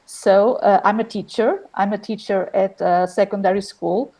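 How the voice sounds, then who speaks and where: A woman's voice: medium pitch, fairly even intonation, normal speed, mellow. A woman from Portugal, a secondary school teacher, introducing herself hesitantly on a video call. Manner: hesitant, filler-heavy.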